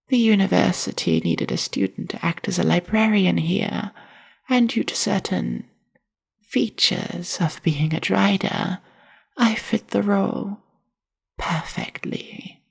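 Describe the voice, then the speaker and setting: A very breathy, husky young monster librarian, half spider and bookish, played by a woman, speaks softly in an ASMR roleplay. Her voice is heavy with creak and moves slowly, with formal, reserved and carefully measured wording. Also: mid-range voice, heavily acted. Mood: calm, explanatory. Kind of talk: ASMR roleplay, soft-spoken monologue to the listener.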